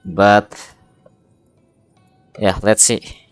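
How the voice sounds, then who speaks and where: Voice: low-pitched, little pitch movement, drawn-out words, no room sound. A game streamer chatting casually and hesitantly, muttering to themselves during a live stream. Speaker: game livestreamer. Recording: music playing behind the voice. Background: game music.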